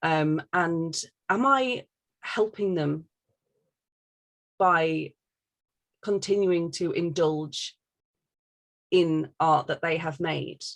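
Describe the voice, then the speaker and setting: A woman's voice: sits low, extremely slow, gravelly, long pauses. A woman talking casually and candidly, giving her opinions emphatically and swearing freely.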